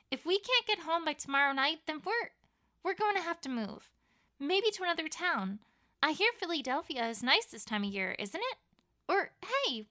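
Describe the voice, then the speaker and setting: Woman acting very bright, high-pitched anxious pony. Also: full character voice. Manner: stammering, dramatic. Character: anxious, bookish young female pony, fretting and livid. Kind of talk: dramatised fan fiction reading.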